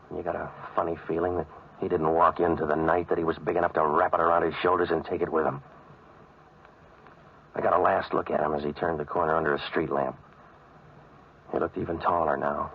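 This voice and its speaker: A hardboiled American waterfront tough guy from a vintage radio noir. His voice sits low, with clipped, sardonic delivery and world-weary wisecracks. The old broadcast sounds dull, with some noise underneath. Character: cynical wisecracking hardboiled waterfront odd-job man, narrating. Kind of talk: 1940s radio noir acting and narration. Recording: muffled, noisy old radio recording.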